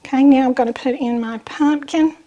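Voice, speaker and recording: A woman with a Southern US accent and a slightly raspy, bronchial voice, chatting casually as she talks through a home cooking demonstration. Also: high voice, brisk, mellow, muffled recording. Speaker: host of a home cooking channel.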